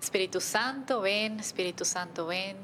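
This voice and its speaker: A woman hosting a casual interview, enthusiastic and warm, who opens with a fervent prayer. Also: medium pitch, moderate pace, background noise. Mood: prayerful. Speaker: host.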